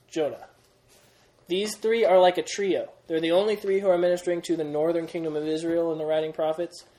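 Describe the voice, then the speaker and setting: A young man teaches a Bible class with energy. His voice sits a little high for a man. He quizzes the group as he goes and restarts his sentences often. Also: a little mellow, low-quality recording. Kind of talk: classroom Bible lesson with questions.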